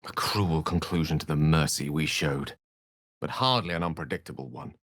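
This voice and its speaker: An actor playing an erudite, wry and courteous wizard in a video game, with eloquent, precise, theatrical phrasing. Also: male voice, a bass voice, normal speed, fairly bright tone, dry recording, clean studio sound. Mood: measured. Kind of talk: video game character acting.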